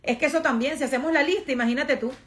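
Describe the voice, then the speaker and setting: High-pitched Venezuelan woman vlogging emphatically. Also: racing. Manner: emphatic, repeats phrases for effect, colloquial. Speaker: social media influencer and vlogger. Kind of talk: casual get-ready-with-me vlog monologue.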